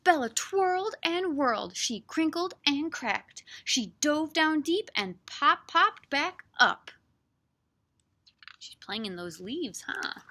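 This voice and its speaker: Bright-voiced woman leading children's story time. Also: high-pitched, slow pace. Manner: animated, sing-song, encouraging, with dramatic emphasis. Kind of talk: expressive read-aloud and chat for young children.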